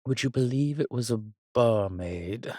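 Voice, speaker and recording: Man acting a slow, husky, roguish old vampire. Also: medium pitch, expressive, warm tone, no echo, studio quality. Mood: amused, nostalgic. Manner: theatrical, archaic turns of phrase, teasing. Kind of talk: intimate roleplay audio drama.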